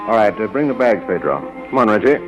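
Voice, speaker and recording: A man playing a brisk, level-headed, businesslike adventurer in a 1940s radio serial. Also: lowish voice, very animated. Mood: brisk, matter-of-fact. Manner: businesslike, terse. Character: brisk, level-headed male adventurer leading his partner. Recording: muffled old radio with music behind.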